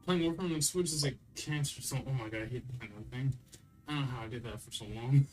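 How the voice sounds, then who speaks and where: Mid-pitched, sweary young man talking games. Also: quick pace, music in the background, rough recording. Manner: slangy, sweary, opinionated. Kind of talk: casual gaming reaction chat.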